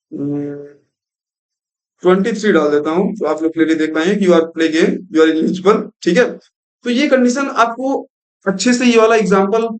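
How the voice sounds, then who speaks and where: A man's voice: high-pitched, low-quality recording. A young man giving a screen-recorded programming lesson, explaining step by step and mixing in English terms. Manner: explanatory, repeats "thik hai" and mixes in English terms. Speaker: programming tutor.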